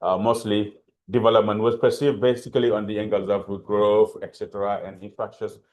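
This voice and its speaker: A man from Senegal speaking English with a French accent, his voice sitting a little low. He presents slowly and haltingly, restarting phrases and filling pauses with "uh". It sounds like a video call. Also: little pitch movement, darkish tone. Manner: hesitant, halting, many fillers and restarts. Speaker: panelist. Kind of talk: webinar presentation.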